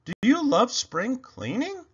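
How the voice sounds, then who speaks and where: A man's voice: extremely high pitch, huge pitch swings, slow, breathy edge, lo-fi sound, pitched above the performer's own voice, heavily acted. A man voicing an eager, easily overwhelmed male character in a children's storybook read-aloud. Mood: eager, wondering.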